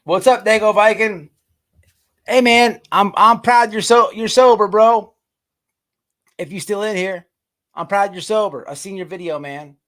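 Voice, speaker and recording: High-pitched man ranting on a livestream. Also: unhurried, pauses often, fairly bright tone. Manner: profane, slangy, stammering and repeating words. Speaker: livestream host. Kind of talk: casual livestream rant, chatting with viewers.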